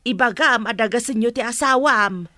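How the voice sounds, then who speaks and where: Sharp, grumbling mother-in-law. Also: fairly high pitch, normal speed, no echo, clean studio sound. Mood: bossy, concerned. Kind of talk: radio drama acting.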